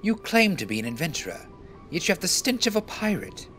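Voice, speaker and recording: A man's voice: high-pitched, very animated, brisk, a bit bright, soft background music. A man playing a stern, suspicious naval officer, formal and condescending, in a game fan dub.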